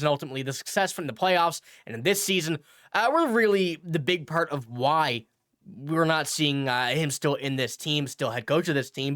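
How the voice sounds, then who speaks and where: A man's voice: fairly high pitch, fairly bright tone. Nasal man with a cold, chatty. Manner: rambling, self-correcting, reads quotes aloud. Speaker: hockey news channel host. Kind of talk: casual commentary video.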